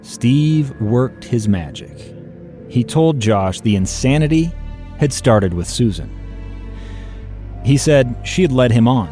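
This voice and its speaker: A man's voice: medium pitch, animated, takes it slowly, long pauses, warm tone, soft background music. A man reading dramatic scripted true-crime narration as a podcast narrator.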